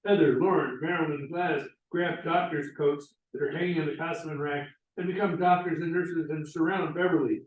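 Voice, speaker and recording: A man's voice: on the high side, fairly even intonation, brisk, hardly any pauses, highs cut off. A man reading stage directions aloud as scripted narration. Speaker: stage directions reader at a play table read. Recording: echoey room, distant mic.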